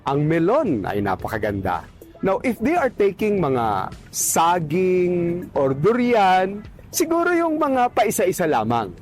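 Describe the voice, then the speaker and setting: Casting: man, doctor-advisor, fairly high lively voice, earnest explainer style. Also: slow, soft background music. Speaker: doctor giving health advice. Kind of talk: explanatory sponsored health segment.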